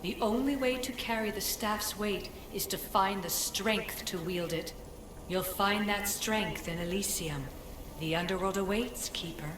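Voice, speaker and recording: A woman playing an ancient, solemn spirit guide in a video game, speaking in a measured, formal and cryptic way. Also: medium pitch, background noise, lightly acted. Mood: commanding, solemn. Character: ancient female Isu spirit in a staff, solemn commanding guide. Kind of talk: scripted game cutscene acting. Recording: echoey hall reverb over music.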